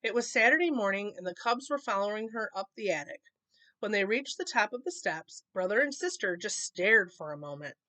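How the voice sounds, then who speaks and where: A woman reading a children's picture book aloud in an expressive storytelling manner. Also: medium pitch, a little fast, no room sound, low-quality recording. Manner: expressive reading, acting out dialogue.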